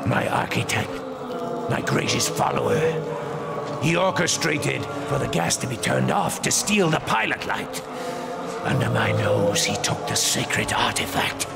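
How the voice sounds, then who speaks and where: An actor playing a fanatical, grieving cult priest of fire in a video game, preaching in a gravelly, grandiose, theatrical manner. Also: male voice, medium pitch, big pitch swings, a little slow, heavily acted. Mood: woeful, aggrieved. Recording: loud music under the voice.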